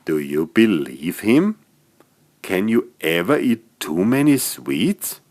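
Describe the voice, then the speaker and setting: Slow, animated man reading children's stories. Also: deep voice. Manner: expressive storytelling for small children. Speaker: author narrating own stories. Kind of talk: scripted children's bedtime story narration.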